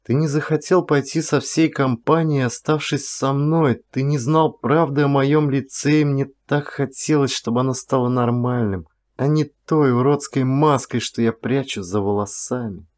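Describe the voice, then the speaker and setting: A man's voice: medium pitch, normal speed, few pauses, darkish tone, close to an everyday voice. A man voicing an insecure young woman in love, emotional and confessional, in an audiobook. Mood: impassioned. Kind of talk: audiobook character dialogue. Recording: music under the voice.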